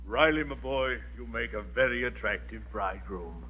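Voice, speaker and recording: An actor playing a condescending, genteel uncle with a dry, lofty manner in a radio comedy. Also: male voice, medium pitch, normal speed, husky, faint music behind, natural voice. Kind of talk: classic American radio comedy acting. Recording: tinny, band-limited vintage radio recording.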